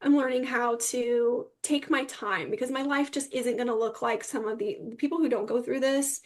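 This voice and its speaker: A woman's voice: fairly high pitch, steady tone, moderate pace. A young adult woman giving earnest personal testimony as a podcast guest, speaking emphatically.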